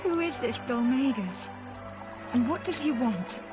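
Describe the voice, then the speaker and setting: An actress playing a bold, bossy young woman adventurer in a video game, with an assertive, brisk manner. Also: fairly high pitch, expressive. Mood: determined. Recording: game music behind the voice.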